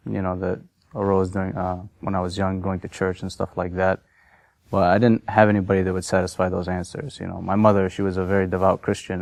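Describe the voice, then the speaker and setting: A man in his thirties from Massachusetts, telling his personal story in a halting, unscripted way full of fillers. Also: low-pitched, a little mellow, no room sound. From Massachusetts, USA. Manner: hesitant, many fillers and restarts. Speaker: convert sharing his story.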